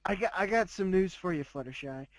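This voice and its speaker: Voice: medium pitch, animated, a little fast. A co-host chatting casually over a story, interjecting with teasing, joking remarks.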